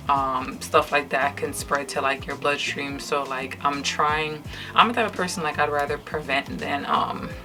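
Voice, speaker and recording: A woman's voice: deep voice, bright, forceful tone. Tired, candid Trinidadian woman chatting. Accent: Trinidadian English, lightly. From Trinidad and Tobago. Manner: candid and chatty, many fillers and restarts. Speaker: vlogger. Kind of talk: casual chat to camera while doing makeup. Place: indoors at home, night.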